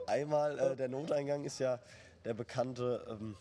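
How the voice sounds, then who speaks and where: Laid-back man trailing off quietly. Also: medium pitch, unhurried, slight background noise. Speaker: band member, songwriter. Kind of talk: casual interview chat.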